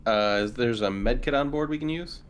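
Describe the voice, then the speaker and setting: A man's voice: medium pitch, fairly quick, clean tone. A man playing a tabletop roleplaying game with casual talk, in and out of character. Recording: background noise, room ambience.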